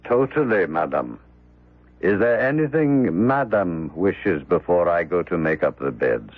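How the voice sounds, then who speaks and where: An actor playing a grieving, defiant manservant with a deliberate, coldly formal manner, in a radio drama. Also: male voice, low voice, lively intonation, dry recording, phone-quality sound, exaggerated voice. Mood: icy deference. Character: grieving, bitterly defiant male servant. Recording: thin, dry vintage radio recording.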